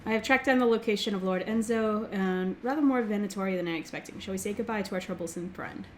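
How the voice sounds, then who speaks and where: A young woman hosting a game let's play, slightly hoarse as she recovers from a throat infection, chatting casually and reading game text aloud. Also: medium pitch, a little hiss or hum. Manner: reads game text aloud quickly, filler-heavy. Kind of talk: casual gameplay commentary.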